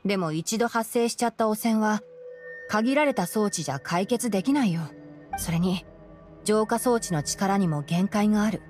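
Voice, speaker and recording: A woman playing a composed, knowledgeable young fox-eared male forest ranger in a Japanese game, explaining things in a measured way. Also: medium pitch, a little mellow, lightly acted. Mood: calm, matter-of-fact. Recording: game music behind the voice. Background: background game music.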